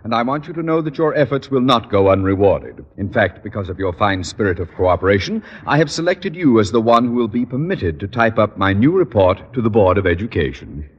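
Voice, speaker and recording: A man playing a pompous, blustering high school principal in old-time radio comedy, speaking in formal, officious phrases. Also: big pitch swings, a little fast, hardly any pauses, slightly rough, dull audio. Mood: smooth, self-important. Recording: noisy old radio recording, reverberant hall.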